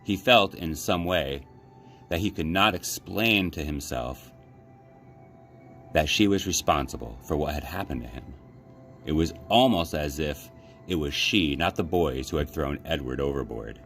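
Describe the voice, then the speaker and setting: A man reading a children's novel aloud as a library storyteller, with measured, dramatic phrasing. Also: rumbling low, expressive, fairly bright tone, faint music behind. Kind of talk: children's audiobook-style read-aloud. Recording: clear background noise.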